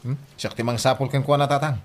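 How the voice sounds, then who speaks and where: A man's voice: medium pitch, steady tone, fast, natural voice. A man with an Ilocano accent acting as a lazy young dreamer in a radio comedy drama, evasive, whiny and boastful. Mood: sheepish, excited.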